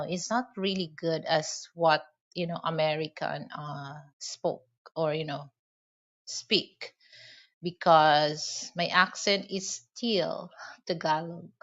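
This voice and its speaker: Filipino-accented woman vlogger, lowish voice, rambling casual talk with fillers, lo-fi sound. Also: a bit bright. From Philippines. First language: Hiligaynon. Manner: rambling, many "you know" fillers. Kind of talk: casual monologue.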